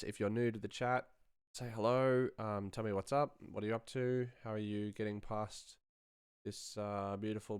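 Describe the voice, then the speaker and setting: A man's voice: on the low side, clear, resonant tone, no room sound, clean studio sound. A man hosting a casual live stream as a sound designer, rambling and thinking aloud as he works.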